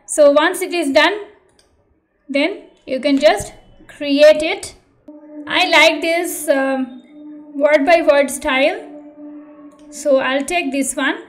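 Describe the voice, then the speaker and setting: A woman's voice: sits high, takes it slowly, long pauses, a little hiss or hum. A woman presenting an online tutorial in a polite, earnest way. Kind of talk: tutorial narration and channel appeal.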